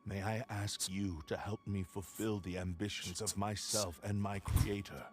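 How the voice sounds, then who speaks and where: A man voicing the remorseful spirit of a sentient cursed sword in a video game, speaking in a grave, measured, sorrowful way that turns whispery at times. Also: fairly low pitch, fairly even intonation, natural voice. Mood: earnest. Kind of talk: game voice acting. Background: game music.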